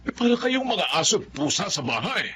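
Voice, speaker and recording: Filipino kuya in a radio drama, high animated voice, blunt n concerned, keeps pushing his point. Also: moderate pace, slight background noise, full character voice. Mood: insistent, concerned. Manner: insistent, exclamatory. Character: blunt, concerned older brother of a mother.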